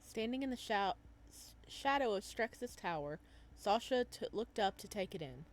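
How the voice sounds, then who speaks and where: A woman's voice: medium pitch, lively intonation, moderate pace. Woman doing playful let's-play commentary. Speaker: gaming video host. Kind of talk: casual let's-play commentary, reading game text aloud.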